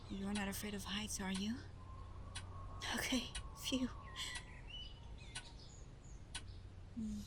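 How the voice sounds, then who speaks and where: Woman acting a slow, shy, sweet young woman. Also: medium pitch, background noise, natural voice. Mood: happy, bashful. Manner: hesitant, stammering, gentle, breathy half-whispers. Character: shy, sweet, eager young woman, Santa's adopted daughter. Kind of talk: roleplay audio drama, soft-spoken ASMR, addressing the listener.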